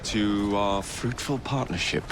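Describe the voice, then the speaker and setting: An actor playing a brash young Victorian gang leader in a video game, with an English accent, a gravelly voice and wry, clipped delivery. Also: male voice, fairly low pitch, fairly even intonation, takes it slowly, noisy background. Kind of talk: video game cutscene acting.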